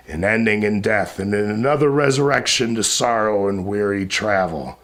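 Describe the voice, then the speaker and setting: A man with a low, creaky voice recites epic verse very slowly. His delivery is solemn and declamatory, swelling suddenly and then sinking into hushed phrases. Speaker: poetry reciter. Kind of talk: dramatic recitation of epic poetry.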